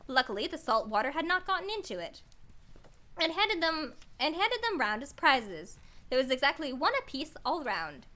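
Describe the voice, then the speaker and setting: A woman with a high, lively voice reads a children's story to a live stream. Her tone is bright and swings freely in pitch. She is chatty and playful, slipping into casual asides and gently poking fun at herself. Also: normal speed. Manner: chatty, playful, self-deprecating, mild exclamations.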